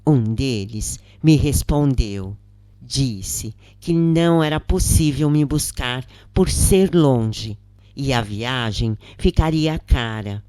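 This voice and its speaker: A Brazilian narrator reads a scripted story in a close, dry recording. The delivery is slow and deliberate, with measured pauses between phrases, in the manner of an audiobook. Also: medium pitch, darkish tone, dry recording. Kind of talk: scripted narration, audiobook-style reading of a first-person spiritist account.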